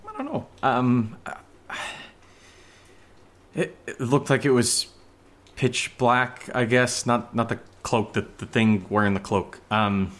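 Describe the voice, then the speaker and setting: A man's voice: medium pitch, expressive, slow pace. An actor playing a nervous young man who rambles and stammers through a spooky story in a horror audio drama. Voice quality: hesitant, stammering, rambling with many fillers.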